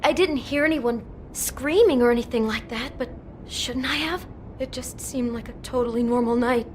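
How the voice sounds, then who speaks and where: An actress playing a shaken, compassionate young woman in a video game, speaking in an anxious, halting, emotional way. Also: a little high, darkish tone, a little hiss or hum, close to an everyday voice. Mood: distraught. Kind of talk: naturalistic video game voice acting.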